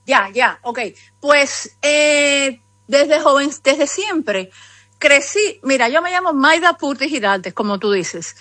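High-voiced Cuban woman telling her story. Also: animated, slow pace, no room sound. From Cuba. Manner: rambling, warmly conversational, many asides. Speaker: guest author. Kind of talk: remote interview conversation.

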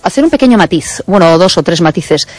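Fast-talking veteran woman radio host. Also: on the low side, expressive, a little mellow, dry recording, faint music behind. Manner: fast-talking, insistent, many fillers. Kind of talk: radio conversation.

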